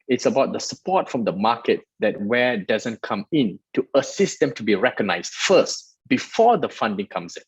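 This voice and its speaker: A man's voice: medium pitch, animated, normal speed, hardly any pauses, breathy edge, no echo, muffled recording. A man from Malaysia, a guest in an interview, explaining his work at length in an earnest way with many restarts. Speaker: guest, startup festival director. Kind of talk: interview answers.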